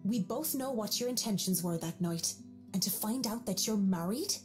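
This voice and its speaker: A woman voicing a sharp-witted, principled young woman in a game, speaking in a dry, reproachful way. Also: medium pitch, animated, moderate pace, soft background music, voice pushed up, lightly acted. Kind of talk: visual novel character voicing.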